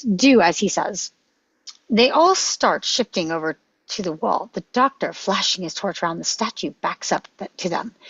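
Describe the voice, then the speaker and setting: A woman's voice: medium pitch, breathy edge, no room sound. A woman reading stage directions as dramatic scripted narration at a table read. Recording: dull, dry lo-fi microphone.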